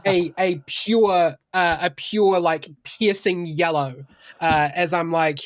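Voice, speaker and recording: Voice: medium pitch, steady tone, slow pace, no echo. A player in a tabletop roleplaying game, casually explaining rules and moves with careful, hesitant phrasing.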